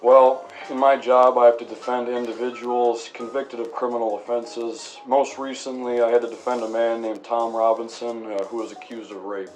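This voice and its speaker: A man playing a measured, principled lawyer and stern father in an acted radio interview, formal in his speech. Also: medium pitch, flat delivery, lightly acted, poor audio. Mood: measured, earnest. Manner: measured, formal, gently admonishing.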